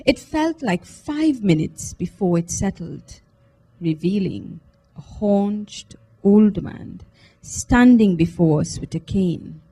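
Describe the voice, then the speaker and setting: A woman giving an expressive first-person literary reading, in a measured, deliberate, dramatic manner. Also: medium pitch, slightly rough, poor audio. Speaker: reader at a book launch. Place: live book launch event at a library.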